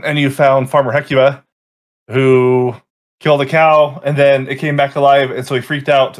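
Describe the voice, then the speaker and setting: A man in the game master's seat talks through what happened last session in a loose, rambling way. He stops often to correct himself and pick up the thread again. He speaks through a home mic on a voice call. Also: medium pitch. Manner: casual, rambling recap with self-corrections. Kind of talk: recapping a tabletop game session.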